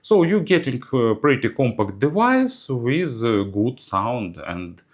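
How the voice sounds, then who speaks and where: A man's voice: mid-range voice, very animated. Man with a very swooping, hesitant delivery. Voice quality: non-native English phrasing. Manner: halting, frequent hesitations mid-sentence. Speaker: audio gear reviewer. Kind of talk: semi-scripted product review commentary.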